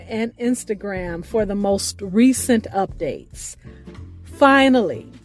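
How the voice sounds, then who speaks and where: A woman radio host reading a scripted show introduction with deliberate, announcer-like phrasing. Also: fairly high pitch. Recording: music bed behind the voice. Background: music.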